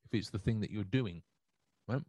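A man's voice: lowish voice, moderate pace, warm tone, slightly rough, no echo. A man hosting a solo livestream podcast, talking through his thoughts and answering chat with many restarts and fillers. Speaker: podcast host.

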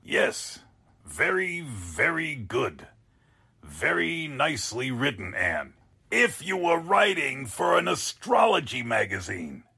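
An actor playing a sarcastic, blustering newspaper editor in a 1940s-style radio drama, with a husky voice. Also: male voice, medium pitch, big pitch swings, slow, pushed and bright, exaggerated voice. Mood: mock sweetness. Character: exasperated, sarcastic male newspaper editor boss.

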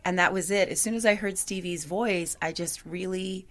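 A woman singer in a conversational interview, with a gravelly voice and a chatty manner full of "you know". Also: fairly low pitch, moderate pace, a bit bright.

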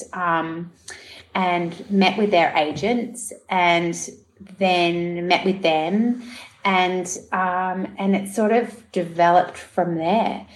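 A middle-aged woman from New Zealand, chatting as an interview guest, laughing often, with lots of fillers and restarts. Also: lowish voice. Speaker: podcast guest, TV producer and director. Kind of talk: casual interview chat.

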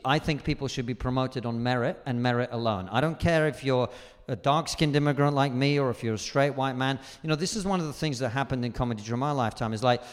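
A comedian guest speaking in a live stage conversation, forthright and emphatic and swearing freely. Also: male voice, medium pitch, in a large hall. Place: live venue stage before an audience.

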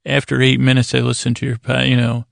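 A man hosting a bedtime podcast in a rambling, halting monologue, often interrupting himself and poking fun at himself. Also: medium pitch, monotone, vocal fry, dry recording, studio quality. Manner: meandering, halting, self-interrupting; self-deprecating. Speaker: podcast host.

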